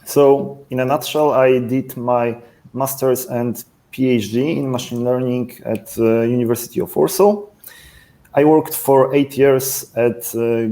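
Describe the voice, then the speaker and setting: A man in his thirties, a startup CTO, explaining his career plainly on a video call in a relaxed way with casual fillers. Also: mid-range voice. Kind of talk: panel discussion answers.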